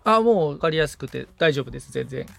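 A man's voice: on the high side, expressive, fast, vocal fry, soft background music. A man assisting on a podcast as a learner, attentively following along with polite, agreeable replies. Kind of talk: polite conversational replies while following instructions.

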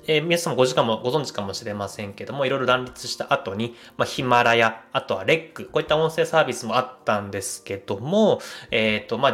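Fast-talking man hosting a solo podcast. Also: medium pitch, a bit bright. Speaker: host of a solo audio show. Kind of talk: casual solo podcast talk.

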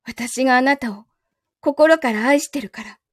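Woman as possessive young lover, high-pitched, Kansai Japanese accent, coldly teasing, quietly threatening, dry recording, radio-play acting. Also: normal speed, no room sound. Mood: bitter, possessive. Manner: controlled, coldly teasing, menacing. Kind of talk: live audio drama acting.

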